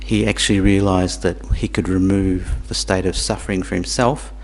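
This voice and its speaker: A man born in Tanzania, teaching Buddhism to a small group in a halting, repetitive way, with questions for the audience. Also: sits low, little pitch movement. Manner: halting, repeats words, asks the audience questions. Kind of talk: dharma talk to a small group.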